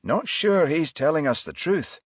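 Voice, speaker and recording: A man voicing a composed, courteous male detective inspector in a crime audiobook, measured and businesslike. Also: on the high side, lively intonation, husky, dry recording, clean studio sound, pitched above the performer's own voice. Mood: wry.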